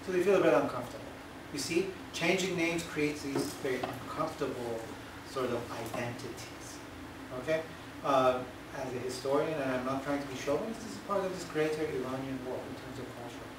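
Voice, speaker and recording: A man's voice: medium pitch, a little fast. Man lecturing in an echoey hall. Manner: emphatic, asks "right?" for agreement. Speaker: history lecturer. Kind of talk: lecture Q&A answer. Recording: echoey hall, far-off, noisy.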